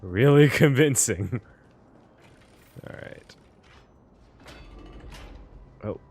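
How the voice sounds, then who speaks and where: A man giving casual, expressive live reaction commentary on a game story, swearing as he puzzles aloud. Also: mid-range voice, very slow, vocal fry, slightly rough. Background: faint game music.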